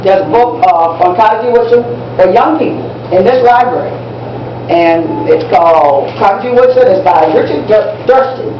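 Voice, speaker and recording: A woman's voice: mid-range voice, moderate pace, natural voice. A woman playing an enthusiastic teacher in a live amateur stage play, instructing students in an encouraging way and repeating herself for emphasis. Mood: instructive, helpful. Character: enthusiastic, proud woman teacher briefing young students on history. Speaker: teacher in a one-act play. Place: library event room, recorded from audience distance. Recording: distant, muffled, noisy echoey room recording.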